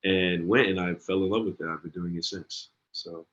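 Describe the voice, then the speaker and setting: Very deep-voiced young man, dull sound. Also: steady tone, normal speed, a bit bright. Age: 20s. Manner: laid-back, many ums and likes. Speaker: guest, singer. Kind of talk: casual interview.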